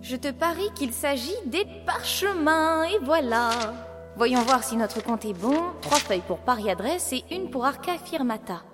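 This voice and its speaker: A young Parisian journalist of 1888 in an audio drama. Her voice is high, expressive and literate, curious and bold, with music playing underneath. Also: normal speed. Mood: excited. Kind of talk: French audio drama acting with first-person narration.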